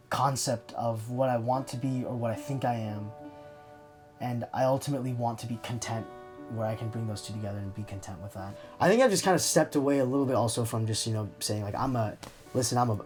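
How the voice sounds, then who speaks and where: Hesitant young man opening up. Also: medium pitch. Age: young adult. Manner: hesitant, searching for words. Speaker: interview subject. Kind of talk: reflective, candid personal interview.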